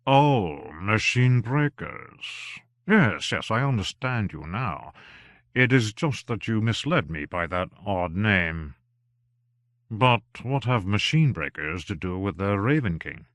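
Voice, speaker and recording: A man reading an audiobook, voicing a polite male gentleman magician who asks questions mildly. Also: on the low side, very animated, slow pace, no echo, studio quality, exaggerated voice.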